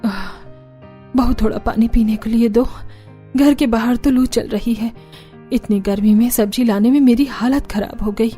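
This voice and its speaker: A woman's voice: fairly high pitch, steady tone, moderate pace, mellow, soft background music, lightly acted. A woman voicing an older Indian mother-in-law, tired but easily delighted, in a Hindi cartoon story. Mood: exhausted.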